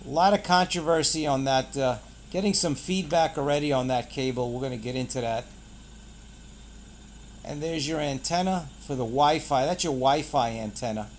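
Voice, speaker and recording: A man's voice: medium pitch, normal speed, a little hiss or hum. A man hosting a casual product unboxing, pointing things out in short, clipped phrases. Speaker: product reviewer and channel host.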